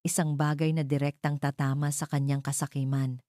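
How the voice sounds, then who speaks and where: A woman's voice: low voice, flat delivery, fairly quick, dark tone, no echo, clean studio sound, lightly acted. A woman with a Filipino accent voicing a cold, vengeful wealthy wife who gives commanding orders in a story.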